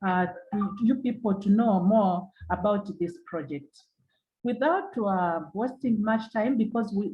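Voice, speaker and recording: A woman from Uganda with a Ugandan English accent, giving formal opening remarks as a webinar moderator over a video call, halting and repeating her points. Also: medium pitch, lo-fi sound.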